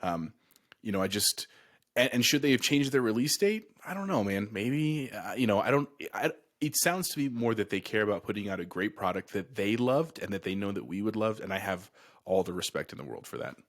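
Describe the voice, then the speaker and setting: A man's voice: lowish voice, animated, a little fast. A man in his thirties co-hosting a casual gaming podcast, speaking earnestly and with passion. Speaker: podcast co-host.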